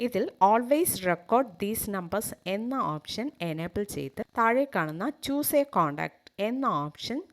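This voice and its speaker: A woman's voice: mid-range voice, expressive, slow, few pauses. A woman with a Malayali accent, hosting a tech tutorial and narrating scripted step-by-step instructions.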